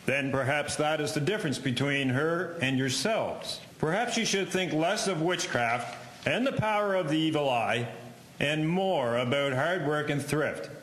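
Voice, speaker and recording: A man playing a practical, authoritative reverend with a measured, preachy manner in a historical drama. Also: fairly high pitch, lively intonation, fairly bright tone. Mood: firm, admonishing. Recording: echoey room.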